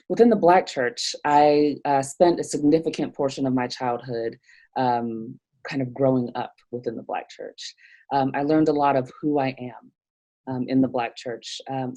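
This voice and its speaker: Low-voiced, thoughtful woman guest. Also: fairly even intonation, measured pace, warm tone. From Nigeria. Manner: thoughtful, hesitant, many fillers and restarts. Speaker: theologian guest. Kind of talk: reflective interview conversation.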